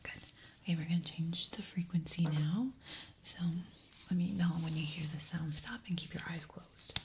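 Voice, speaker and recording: A woman in a breathy whisper, softly giving short, reassuring instructions as an examiner in an ASMR medical roleplay. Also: on the low side, steady tone, normal speed, slight background noise. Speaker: ASMR creator playing an ear examiner.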